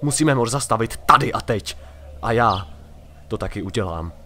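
A man's voice: on the low side, lively intonation, sharp tone, a little hiss or hum. A man playing a proud, hot-tempered young prince in a fantasy audio drama, speaking coldly and imperiously. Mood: grimly resolved. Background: music.

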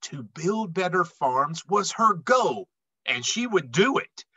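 A middle-aged man reading a children's picture book aloud expressively, with emphatic, dramatic phrasing and drawn-out words. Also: sits high, very animated, bright, forceful tone, breathy edge, no echo, muffled recording. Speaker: read-aloud storyteller.

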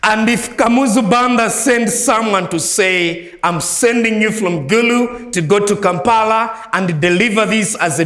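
A middle-aged man from Malawi preaching at a pastors' conference, energetic and emphatic, holding a handheld mic in a large hall. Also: sits high. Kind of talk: sermon. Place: large hall with audience, indoors. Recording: echoey hall.

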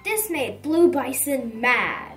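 A woman reading a children's storybook aloud in a dramatic, expressive and emphatic storytelling manner. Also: sits high, slow pace, recorded from a distance, faint music behind. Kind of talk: dramatic read-aloud narration for young children.

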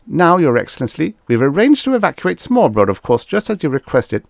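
A man's voice: lowish voice, big pitch swings, fairly quick, vocal fry, dry recording, clean studio sound, pitched above the performer's own voice. A man voicing a pompous, easily panicked ambassador, barking and indignant in a science fiction audiobook. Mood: nervous, fawning. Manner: barking, indignant, self-important.

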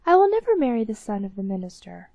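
A woman's voice: medium pitch, big pitch swings, fast talker, creaky, a little mellow, dry recording, muffled recording. A woman voicing a devoted, stubborn sixteen-year-old princess in a storybook, earnest and firm. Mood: defiant. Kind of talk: storybook character voice.

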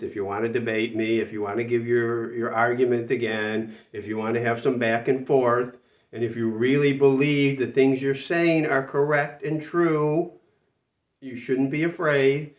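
An older man in his sixties talks straight to the camera, slightly nasal from a cold and plainly exasperated, hammering his points with repetition. He sits a few feet from the mic in a small room, so the sound is roomy, distant and a little dull. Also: medium pitch. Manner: exasperated, emphatic, repeats words for stress. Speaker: retirement channel host. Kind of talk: talking-to-camera commentary. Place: small room with a whiteboard, indoors.

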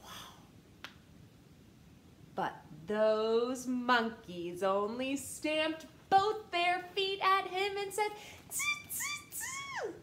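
Gentle slow woman reading to children. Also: high-pitched. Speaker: storytime reader. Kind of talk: children's read-aloud. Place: living room, seated on a couch. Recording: echoey room with faint hiss.

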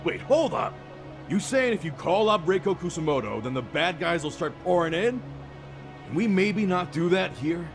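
Animated, slangy street tough. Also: male voice, high voice, normal speed, over music. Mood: alarmed. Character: excitable, slangy male street tough, grumbling but friendly. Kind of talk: video game dub acting.

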